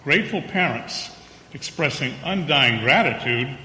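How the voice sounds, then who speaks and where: A man playing a commanding department-store boss in a radio play, brisk and decisive. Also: mid-range voice, lively intonation, sharp tone. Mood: brisk, emphatic. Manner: emphatic, decisive. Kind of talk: old-time radio play acting. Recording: echoey reverberant hall.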